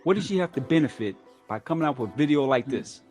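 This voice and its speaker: A man's voice: mid-range voice, lively intonation, fast talker, music in the background. A man on a talk show, speaking in a preachy way and asking rhetorical questions.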